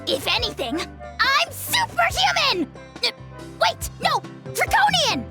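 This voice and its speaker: A woman's voice: very high-pitched, wildly swooping pitch, slow, heavily acted. A woman voicing a tiny, boastful creature who calls himself a dragon lord, blustering and name-calling in a game cutscene. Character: tiny pompous self-proclaimed dragon lord creature, male. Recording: game score music underneath.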